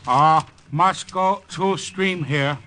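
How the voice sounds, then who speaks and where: An actor playing a stoic village guide in an old radio drama, in clipped broken English. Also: male voice, fairly high pitch, very slow, pushed and bright, heavily acted. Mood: calm, instructive. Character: calm, capable male jungle villager guide, stoic and practical. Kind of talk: 1940s-50s radio drama acting. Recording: noisy vintage radio recording.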